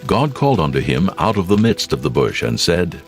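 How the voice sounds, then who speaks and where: Extremely deep-voiced male scripture narrator. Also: very animated, faint music behind. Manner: measured, dramatic.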